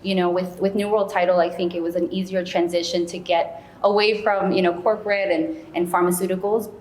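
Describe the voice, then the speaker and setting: A woman with a slightly low voice speaks as an interview guest. She is chatty and conversational, dropping in casual asides as she explains. The recording has a clear room echo and faint background noise. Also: flat delivery, brisk, a little mellow. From United States, born in Peru. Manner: chatty, frequent "you know" asides.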